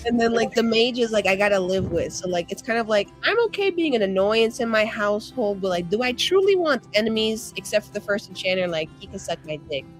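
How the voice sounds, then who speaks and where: A woman's voice: mid-range voice, animated, fairly quick, hardly any pauses, soft background music. A woman in casual post-game chat as a tabletop roleplayer, talking in slangy, profane bursts with theatrical, gleeful relish for scheming. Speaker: player in a tabletop roleplaying show.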